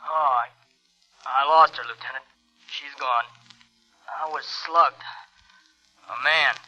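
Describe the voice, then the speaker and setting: Casting: shaken cop, halting and slow, very sharp tense voice, vintage radio drama. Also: male voice, medium pitch, steady tone, faint music behind, heavily acted. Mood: dazed, strained. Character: dazed, shaken male plainclothes officer. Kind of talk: 1950s radio crime drama acting. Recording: muffled old radio broadcast recording.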